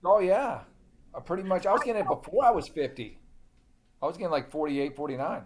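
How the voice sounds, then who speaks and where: A man's voice: on the high side, expressive, a little fast, background noise. A man in his fifties, a comedy guest, chatting casually and joking along. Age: 50s.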